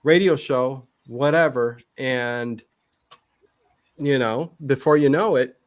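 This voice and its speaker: A man chatting casually as a livestream guest, easygoing and self-deprecating, with halting phrasing. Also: medium pitch. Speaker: guest who runs an old-time radio channel and trivia nights.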